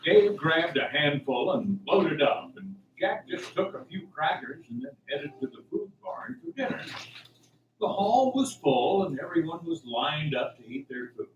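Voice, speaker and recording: Lively male storyteller, higher-pitched. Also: measured pace, highs cut off. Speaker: narrator. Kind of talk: scripted radio drama narration. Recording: echoey room, distant mic, faint hiss.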